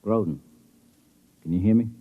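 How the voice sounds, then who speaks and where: An actor playing a calm, grave ship's doctor who talks steadily and plainly in a 1950s radio drama. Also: male voice, on the low side, normal speed, breathy edge, faint music behind. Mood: grave, soothing. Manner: steady, plain-spoken bedside talk. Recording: muffled, phone-like old radio recording.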